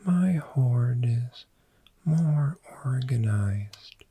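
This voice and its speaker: A man voicing a friendly, gentle dragon in a soft-spoken, soothing ASMR roleplay, with a breathy whisper and long pauses. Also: mid-range voice, lively intonation, dry recording, exaggerated voice. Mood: cozy, welcoming. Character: friendly, gentle cave dragon fond of sleep.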